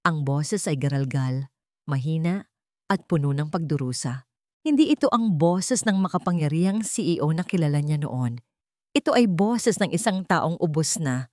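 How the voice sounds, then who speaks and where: A woman's voice: deep voice, animated, normal speed, darkish tone, no echo, studio quality. A woman with a Filipino accent reading a scripted dramatic story as its narrator.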